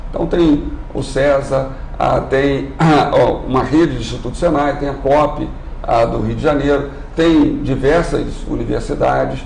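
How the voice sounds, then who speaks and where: A man, an institute executive on a live TV interview, speaking in a formal, measured way and often clearing his throat. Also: mid-range voice, steady tone, darkish tone. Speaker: industry training institute executive. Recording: roomy with faint noise.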